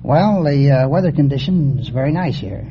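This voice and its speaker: A man's voice: fairly high pitch, lively intonation, moderate pace, noisy background. A man from Italy appearing as a radio quiz contestant, giving brief, plain answers. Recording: muffled old radio recording with audience.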